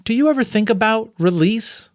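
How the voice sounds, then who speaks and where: A man's voice: high voice, lively intonation, normal speed, no room sound, studio quality, pitched above the performer's own voice. A man voicing an earnest, curious young boy apprentice in an audiobook novel, polite and tentative. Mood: hesitant.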